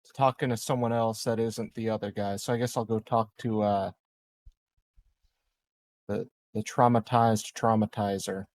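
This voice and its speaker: A man chatting and roleplaying casually as a tabletop game player, repeating himself in a halting, sardonic way. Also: lowish voice, steady tone, a little mellow, no echo. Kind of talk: casual chat, improvised roleplay.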